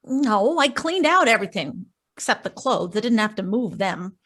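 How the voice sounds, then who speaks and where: An actress playing a sardonic, slangy American female crook in an old-time radio crime drama, quick with sarcastic wisecracks. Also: mid-range voice, sing-song, a bit bright. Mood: irritated, wry. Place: performed from home on a video call.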